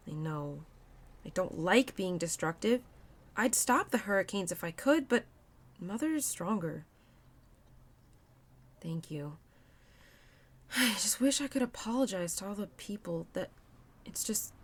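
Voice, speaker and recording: A woman voicing a young female spirit of autumn in an intimate audio roleplay, caring and expressive, playful and easily excited. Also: medium pitch. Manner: expressive, bubbly, giggly, naive about humans.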